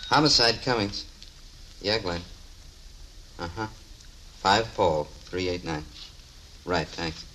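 Deep-toned, clipped police detective, all business, old-time radio drama, audible noise. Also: male voice, slow, long pauses. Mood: businesslike. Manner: laconic, clipped. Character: businesslike male squad-room detective briefing colleagues. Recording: noisy old radio recording.

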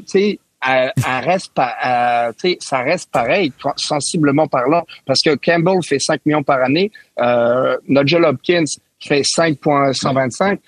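Give me a man with a fairly high voice, arguing trades and talking over the other panelists. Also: dry recording. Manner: animated, interrupts and talks over others. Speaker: sports radio panelist. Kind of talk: casual hockey debate.

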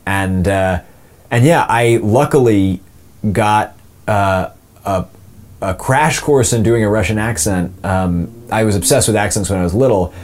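A man's voice: on the low side, measured pace, a little mellow. A young man, an audio drama actor and co-producer, answering interview questions in a casual, rambling way with many fillers and restarts.

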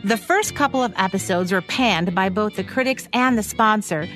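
Female American co-host, medium pitch, prepared narration with music underneath. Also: moderate pace, a bit bright. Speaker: podcast co-host. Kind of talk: scripted narration.

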